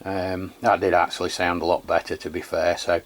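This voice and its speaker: Low-pitched Englishman, casual radio chat. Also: little pitch movement, moderate pace. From England. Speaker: radio review channel host.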